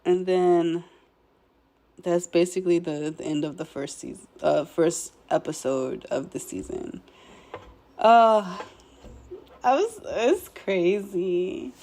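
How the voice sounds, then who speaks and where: A young woman, hoarse and a bit nasal while recovering from illness, casually recapping a show episode in a laid-back way, clearing her throat now and then. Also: lowish voice, lively intonation, slow, pauses often, warm tone. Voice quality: slightly nasal. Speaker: show commentator.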